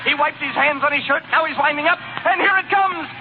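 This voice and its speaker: An actor playing an excitable male radio announcer calling a baseball game in urgent 1930s play-by-play style. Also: very high-pitched, a little fast. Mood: frantic, thrilled. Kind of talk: 1930s play-by-play sports commentary. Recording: tinny, very noisy old radio, roaring crowd.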